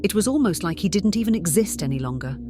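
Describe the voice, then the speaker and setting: A woman's voice: medium pitch, animated, fast talker. A woman reading scripted fiction as a dramatic audiobook narrator. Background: music.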